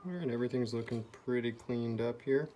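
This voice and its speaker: A man's voice: medium pitch, fairly even intonation, fairly quick, mellow. A man giving a hands-on repair tutorial, explaining things step by step. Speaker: repair video host. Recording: clearly noisy background.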